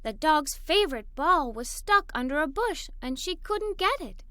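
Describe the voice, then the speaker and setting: A woman voicing an excited, adventurous little girl telling a children's read-aloud story in an expressive, enthusiastic way. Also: high voice, normal speed, no room sound, exaggerated voice. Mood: eager.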